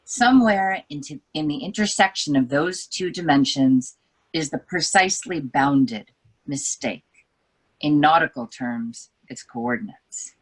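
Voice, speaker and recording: A woman's voice: low-pitched, rough recording. A woman reading as a brooding young wife. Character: troubled young wife, reflective. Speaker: book editor. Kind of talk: literary reading.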